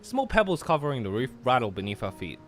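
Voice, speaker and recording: Man, expressive delivery, game narration read aloud, casual banter, word stumbles, light background music. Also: medium pitch, fast. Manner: stumbles over words while reading. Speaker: host of group play-along.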